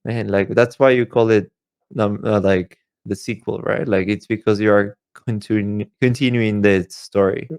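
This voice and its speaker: Male podcast co-host, lowish voice, dry recording, casual delivery. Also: steady tone, normal speed, a little mellow, no echo. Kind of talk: casual chat about games.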